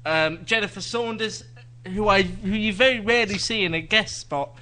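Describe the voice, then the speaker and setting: A man's voice: high voice, moderate pace, pushed and bright. A man co-hosting a live TV podcast, reviewing shows in casual chat with dry teasing asides.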